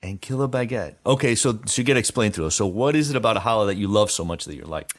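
A man's voice: on the low side, animated, quick pace. A man hosting a casual interview, informal, often repeating words as he works toward a question. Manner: informal, stop-and-start phrasing with repeated words. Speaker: podcast host.

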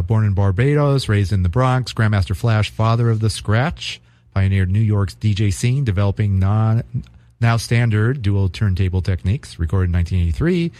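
A man co-hosting a music podcast, chatting casually and reading quiz questions aloud with some halting restarts. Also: on the low side, no echo. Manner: relaxed, halting, reads quiz cards aloud. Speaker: podcast co-host.

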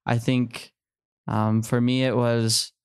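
Young man, smooth and even-toned. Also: mid-range voice, slow pace, dry recording, studio quality. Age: early 20s. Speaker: podcast guest, returned missionary. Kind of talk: personal storytelling in an interview.

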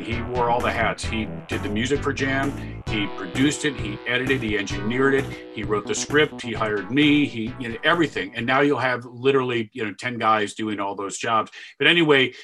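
A man with a deep voice telling stories in an interview, animated and now and then bursting into loud sportscaster calls. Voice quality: deeper voice. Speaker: video game announcer. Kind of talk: interview storytelling.

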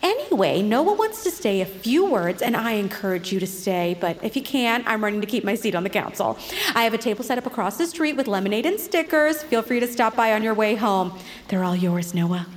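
Woman acting animated, pushy local politician. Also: mid-range voice, a little fast, a little hiss or hum, close to an everyday voice. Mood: peppy, self-promoting. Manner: peppy, glib, politician-like patter. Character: chirpy, pushy, self-promoting town councilwoman. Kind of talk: audio fiction acting.

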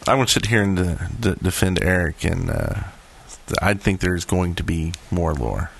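A man speaks in a low voice as a podcast co-host. His delivery is casual and conversational. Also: moderate pace, gravelly, husky. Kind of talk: casual chat.

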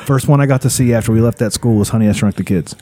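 A man's voice: on the low side, racing, warm tone. A man co-hosting a casual podcast, chatting loosely and laughing often at his own jokes. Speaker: podcast co-host.

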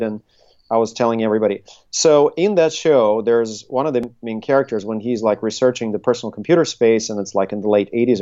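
A middle-aged man, speaking conversationally as an interview guest and haltingly thinking his way through his answers. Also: fairly low pitch, a little mellow, muffled recording. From Russia, Soviet Union. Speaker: interview guest, tech consultancy CEO. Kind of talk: conversational interview, recounting career.

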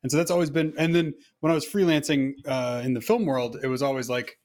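A man in his thirties hosting a casual podcast chat, teasing the others with quick jokey asides. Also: medium pitch, steady tone, a little mellow. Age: 30s.

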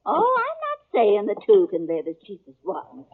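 Muffled old-radio bossy, husky American aunt. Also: female voice, medium pitch, huge pitch swings, moderate pace. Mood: insistent. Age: middle-aged. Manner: folksy, chattering, insistent. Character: bossy, fussing, motherly aunt in the Old West. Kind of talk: 1950s radio drama acting. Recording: muffled 1950s radio recording.

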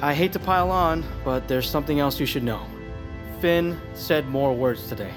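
Female actor as calm doctor, very low register, measured audio drama delivery. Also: music in the background, natural voice. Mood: cautious. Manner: measured, clinical. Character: calm, cautious medical doctor.

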